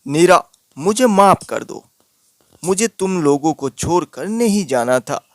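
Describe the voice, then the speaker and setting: Man as slow-speaking earnest young villager Raju. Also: sits high, animated, dry recording, clean studio sound. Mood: pleading. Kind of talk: cartoon horror story dialogue.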